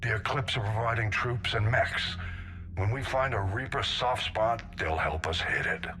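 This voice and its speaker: An actor playing a gruff, seasoned older navy admiral in a video game, blunt and authoritative. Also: male voice, lowish voice, moderate pace, bright, forceful tone, a little hiss or hum. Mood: gruff, businesslike. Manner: blunt, authoritative military briefing delivery. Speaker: military admiral. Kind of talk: scripted video game acting.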